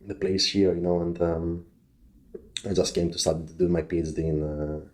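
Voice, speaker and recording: A man with a Greek accent and a fairly low voice talks as a guest on a casual podcast. He speaks haltingly, with many fillers and restarts. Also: level tone, a little mellow. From Greece. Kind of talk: casual interview chat.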